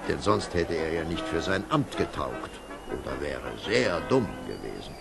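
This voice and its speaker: A man narrating a scripted fairy tale as an expressive storyteller. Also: a bass voice, unhurried, gravelly, over loud music.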